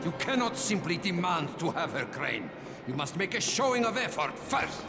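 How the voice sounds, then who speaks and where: A man's voice: sits high, big pitch swings, normal speed. A man playing a menacing, smug warlord in a video game, speaking in a grandiose, mocking way. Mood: smug, taunting. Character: menacing, theatrical male warlord, smug and taunting. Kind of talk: video game cutscene acting. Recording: echoey room with loud music.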